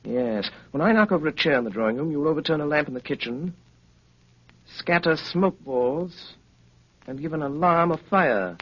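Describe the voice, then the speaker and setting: An actor playing a brilliant, self-assured English detective in an urbane, deliberate, theatrical 1930s radio style. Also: male voice, fairly high pitch, expressive. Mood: brisk, commanding. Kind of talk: 1930s radio drama acting. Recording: dull, noisy 1930s radio recording.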